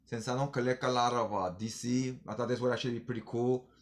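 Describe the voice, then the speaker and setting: A man's voice: medium pitch, fairly even intonation, fast. A man chatting casually in slangy, informal fan talk as he reviews things for a vlog. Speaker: comic book haul reviewer.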